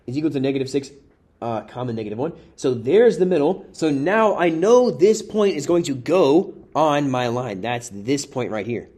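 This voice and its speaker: A man's voice: medium pitch, expressive, moderate pace, darkish tone. A man teaching math in a casual, chatty way, walking through worked examples while his voice is wearing out. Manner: casual, chatty, addresses viewers as guys. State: losing his voice. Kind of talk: worked-example exam review.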